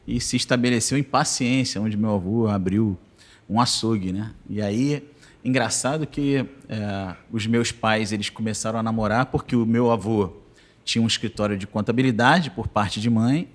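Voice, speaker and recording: Relaxed Rio man in his forties. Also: on the low side, normal speed. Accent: Rio de Janeiro Portuguese. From Rio de Janeiro. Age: 40s. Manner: calm, conversational storytelling with frequent "né?". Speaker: guest, business association president.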